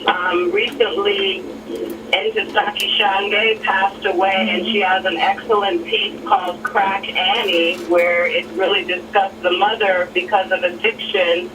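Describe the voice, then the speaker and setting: A woman caller offers an articulate comment in a measured, formal manner. Her intonation is very flat, and the call comes through from far off with plenty of noise. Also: medium pitch. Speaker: radio show caller. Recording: call heard distantly through noisy speaker.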